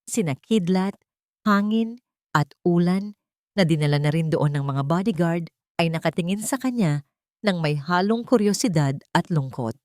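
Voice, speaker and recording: Low-voiced woman narrating a drama. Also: lively intonation, moderate pace, a little mellow, dry recording, studio quality. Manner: earnest, emotional. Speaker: storyteller.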